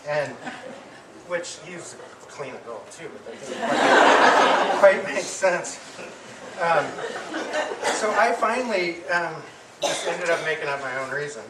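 A middle-aged man giving an affectionate eulogy to an audience, speaking haltingly with pauses and repeated words. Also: fairly high pitch, animated. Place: medium-sized indoor hall with audience. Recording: distant mic, echoey room, clear background noise.

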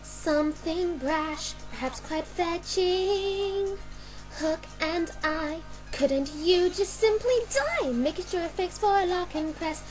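Woman, high voice, amateur singing, cartoon musical cover, faint backing music. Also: takes it slowly. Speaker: fan singer and dubber. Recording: music behind the voice.

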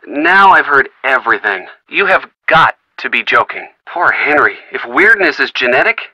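Young male friend, teasing, supportive, lively up-and-down voice, very bright tone, video game character. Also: mid-range voice, moderate pace, no room sound, heavily acted, rough recording. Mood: wry. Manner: teasing, wry, easygoing. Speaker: phone friend of the player character.

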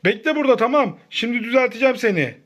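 A woman's voice: on the high side, lively intonation, racing. A woman hosting a children's play vlog, talking casually to a child in short, repeated, exclamatory phrases.

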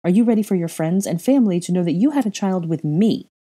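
A woman playing a protective, quick-tempered young mother in an audiobook, speaking earnestly and insistently. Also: medium pitch, lively intonation, warm tone, husky. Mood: furious. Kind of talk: acted audiobook dialogue.

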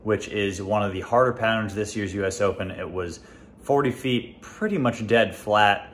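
A young man in his twenties, a bowling channel host, talking casually to camera with a relaxed, chatty manner in a big indoor bowling center. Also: lowish voice, normal speed, a little hiss or hum. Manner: relaxed, chatty, lots of fillers. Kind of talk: casual gear talk to camera.

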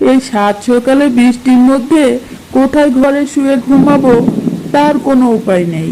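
A woman voicing a lazy, grumbling village husband in an animated cartoon. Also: high voice, normal speed, background noise, lightly acted. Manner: grumbling, exasperated. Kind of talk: cartoon dubbing.

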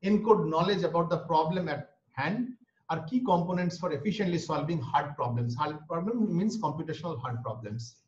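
A man's voice: a little high, moderate pace. A man with an Indian English accent, a native Bengali speaker, giving an online lecture and explaining each point twice. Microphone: webcam or laptop mic on a video call. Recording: roomy, dull video-call audio.

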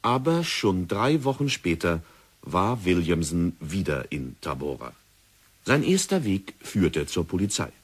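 Male narrator, measured storytelling, very deep voice, husky. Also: big pitch swings. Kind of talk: scripted narration of a radio drama.

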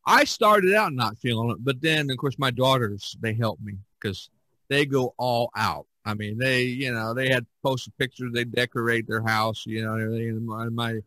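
A man's voice: mid-range voice, moderate pace, pushed and bright, no echo, poor audio. A middle-aged or older American man from Indiana hosting a casual podcast chat, often chuckling as he talks. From Indiana, United States. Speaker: podcast host.

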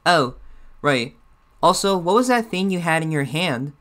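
An eager but unsure cartoon bear sidekick, performed by a man, reacting to videos. The voice is a little high for a male, and the manner is hesitant and always obliging toward the boss. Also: animated, measured pace, sharp tone. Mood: mild, deferential. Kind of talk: character reaction commentary.

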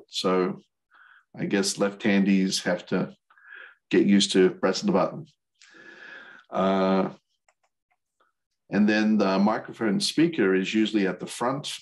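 Deep-voiced, gravelly man, slow and hesitant. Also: poor audio. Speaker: presenter, licensed radio operator. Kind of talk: explanatory slide presentation. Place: remote video call webinar.

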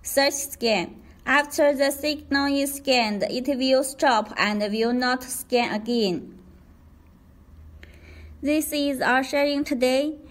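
A woman reading a scripted product tutorial in a careful, deliberate way as a demonstrator. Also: high-pitched.

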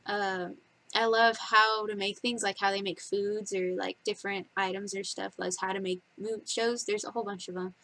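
A woman's voice: medium pitch, steady tone, normal speed, bright, forceful tone. A woman in her twenties chatting casually in her bedroom for a vlog, giggly and rambling, with lots of fillers, picked up by a webcam. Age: 20s. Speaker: vlogger. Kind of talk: casual facts-about-me vlog. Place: bedroom, indoors. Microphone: webcam at desk distance.

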